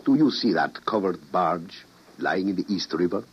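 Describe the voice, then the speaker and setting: Grave low-voiced president. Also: male voice, animated, slightly rough. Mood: grimly persuasive. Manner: deliberate, solemn, dramatic. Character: grave, persuasive president ordering a murder. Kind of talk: old-time radio drama acting. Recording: muffled vintage radio drama recording.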